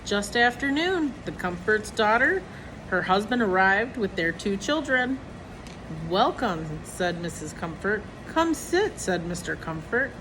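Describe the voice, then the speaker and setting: A woman reading a children's picture book aloud in an expressive way. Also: mid-range voice, unhurried, noisy background. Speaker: storybook reader.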